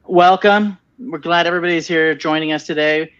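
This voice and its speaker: A man's voice: fairly high pitch, fairly even intonation, moderate pace, a bit bright, smooth, highs cut off. A man in a casual webinar conversation, talking with enthusiasm and repeating himself as he starts sentences. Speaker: venture capital partner and co-host.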